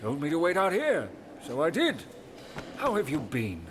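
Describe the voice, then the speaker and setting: A man's voice: on the high side, wildly swooping pitch, moderate pace, slight background noise. An actor playing a humble, kindly older king and father in an audio drama, self-effacing, folksy and eager to please. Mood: warm, delighted.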